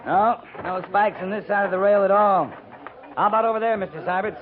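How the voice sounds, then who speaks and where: An American actor playing a seasoned, stoic cavalry captain in a 1950s radio western, speaking in a terse, dry, matter-of-fact way. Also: male voice, high-pitched, a little fast, crowd noise, natural voice. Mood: brisk, commanding. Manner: clipped, dry, unsentimental authority. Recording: tinny band-limited 1950s radio recording.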